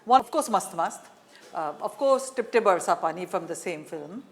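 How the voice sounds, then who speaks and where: A woman's voice: fairly low pitch, lively intonation, moderate pace. A woman with an Indian accent hosting a conversational interview, phrasing her questions hesitantly. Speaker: interview host.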